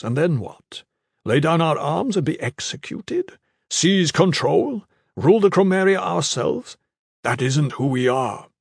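A man's voice: a little high, gravelly, breathy edge, no room sound, clean studio sound, voice pushed up, exaggerated voice. A man voicing a stern, commanding guard commander in a fantasy audiobook, grave, terse and authoritative.

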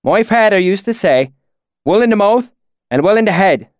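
A genial young country lad, acted by a male storybook narrator, chats in a folksy rural dialect. His voice is raised a little and very animated, in a dry recording. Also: sits high, moderate pace, no echo, pitched above the performer's own voice. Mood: friendly. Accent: put-on rural dialect. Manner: folksy, friendly, chatty.

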